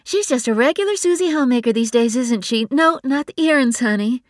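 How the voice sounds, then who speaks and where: A woman's voice: sits high, fairly quick, dry recording, studio quality, voice pushed up. A woman voicing a breezy, blunt young woman who teases her sister. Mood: teasing. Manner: blunt, lively and teasing.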